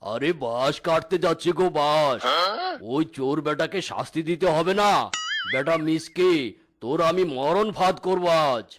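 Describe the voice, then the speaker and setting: A man's voice: a little high, moderate pace, natural voice. A man voicing an indignant, vengeful tiger farmer in a cartoon, blustering and threatening in an exclamatory way. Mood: angry, vengeful. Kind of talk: cartoon voice acting. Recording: music behind the voice.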